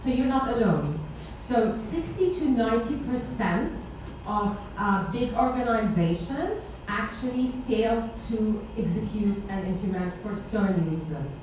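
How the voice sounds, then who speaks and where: A woman's voice: mid-range voice, lively intonation, unhurried, darkish tone. A woman on a panel giving conversational, practical advice to an audience member. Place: indoor meetup event space with seated audience. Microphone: far camera. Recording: very echoey room, distant microphone, noisy background.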